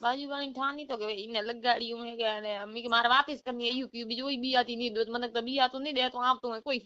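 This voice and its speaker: High-voiced Rajasthani woman, sharp and complaining, halting delivery, casual call over a dull phone line. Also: steady tone, dry recording. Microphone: telephone.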